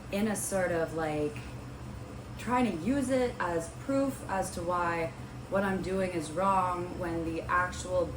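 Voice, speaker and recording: A young woman talks to the camera in a casual, rambling way, full of ums and likes. Her voice sits low and is a little raspy from a cold. The room sounds roomy, with clear background noise. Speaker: host of a Bible study video series. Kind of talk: casual talking-to-camera vlog.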